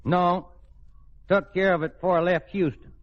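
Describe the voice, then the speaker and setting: A man's voice: high-pitched, fairly even intonation, normal speed, lightly acted. An actor playing a folksy, plain-spoken Texan oil man of few words in a radio comedy. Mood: friendly, folksy. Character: folksy, laconic Houston oil man courting a widow. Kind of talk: 1940s-50s radio comedy acting. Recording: dull old radio recording, studio audience, hiss.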